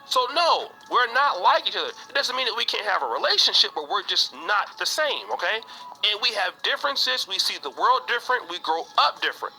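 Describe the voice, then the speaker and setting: Casting: high, lively man, very bright tone, preachy opinion clip with music behind. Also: a little fast, low-quality recording.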